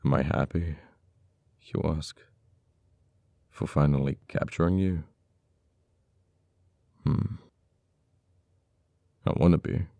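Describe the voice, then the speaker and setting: Casting: male actor for cold, uptight official in ASMR roleplay, extremely low gravelly voice, slow and halting, bitter over a lost friend. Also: fairly even intonation, warm tone, no room sound, exaggerated voice. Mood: conflicted, mournful. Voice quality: very deep, gravelly. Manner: halting, teasing, dramatic pauses and repeated words.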